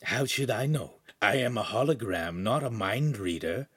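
A man's voice: fairly low pitch, animated, normal speed, breathy edge, dry recording, studio quality. A man voicing an impish male hologram servant, haughty and courtly in manner, in an audiobook story. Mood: indignant.

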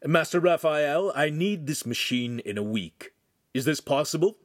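A man playing a paternal master craftsman, lively pitch. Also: no echo, studio quality. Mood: businesslike. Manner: measured, questioning, paternal. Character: kindly but stern Italian master craftsman, older man.

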